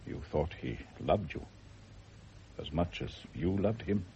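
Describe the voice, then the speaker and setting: A very deep-voiced doctor in a radio drama, measured and authoritative. He gives orders gently and questions with calm persistence. The old recording is dulled, with clear background noise. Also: lo-fi sound. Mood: quiet, probing. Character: calm, probing male doctor questioning a patient. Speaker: actor.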